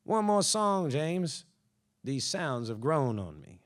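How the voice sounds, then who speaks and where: A man's voice: a little high, sing-song, takes it slowly, pitched above the performer's own voice. Haughty male plantation master, acted by a male reader, taunting and imperious, for a dramatic literary reading. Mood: mocking. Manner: goading, imperious. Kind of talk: dramatic audiobook-style reading.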